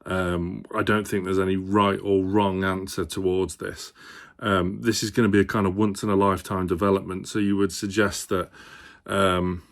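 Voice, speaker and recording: A man's voice: sits low, level tone, moderate pace, gravelly. A man presenting on a football fan channel, talking casually to viewers and thinking aloud as he gives his opinion. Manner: hesitant, thinking aloud, hedging.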